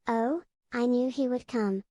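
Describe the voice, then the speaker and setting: Woman playing an eager, high-voiced little girl. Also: a little slow, dark tone, no echo, clean studio sound, close to an everyday voice. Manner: childlike, eager. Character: eager little girl, Susan's young daughter. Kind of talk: fan audio drama acting.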